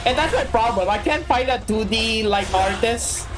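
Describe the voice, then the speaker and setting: A woman's voice: fairly high pitch, moderate pace. Chatty woman streamer over game music. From Philippines. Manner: chatty, excitable, shouts and laughs at the game. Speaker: VTuber streamer. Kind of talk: casual gaming chat.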